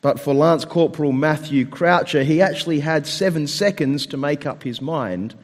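A man with a slightly hoarse, croaky voice, preaching a sermon with storytelling as a pastor. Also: fairly high pitch, moderate pace. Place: church hall, speaking to a congregation. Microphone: microphone with amplification. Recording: long hall reverb through PA microphone.

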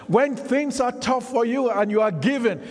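A man's voice: very high voice, normal speed, a little mellow. A man, Isoko his tongue, preaching a sermon and engaging the congregation with questions. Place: church hall. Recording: reverberant hall.

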